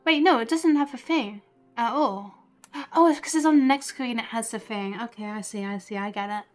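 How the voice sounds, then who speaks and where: A woman chatting casually on a game livestream, excitable and giggly, half talking to herself. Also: on the high side, normal speed, faint music behind. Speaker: game streamer. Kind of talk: casual livestream chat while playing a video game.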